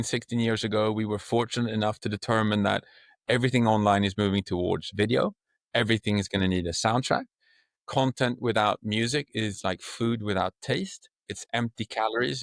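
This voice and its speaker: A man's voice: fairly low pitch, no room sound, clean studio sound. A middle-aged man from Sweden with a Swedish accent, a podcast guest speaking expansively and in vivid images. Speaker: guest, company co-founder. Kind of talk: podcast interview.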